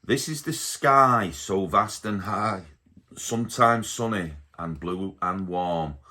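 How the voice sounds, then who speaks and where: A man reading a rhyming picture book aloud to his baby at home, slowly and gently. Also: deep voice. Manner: slow, gentle storybook reading.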